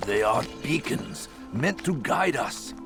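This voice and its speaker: An actor playing an earnest scholar who pleads and protests in a video game cutscene. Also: male voice, medium pitch, sing-song, slow pace, creaky, exaggerated voice. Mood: earnest pleading. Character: earnest male scholar pleading to save books. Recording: music under the voice.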